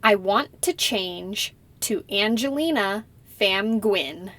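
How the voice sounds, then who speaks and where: A young woman playing a polite citizenship applicant who answers slowly and carefully in a scripted practice interview. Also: mid-range voice, animated, fairly bright tone, no echo. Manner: slow, careful, deliberate answers. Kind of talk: scripted role-play interview.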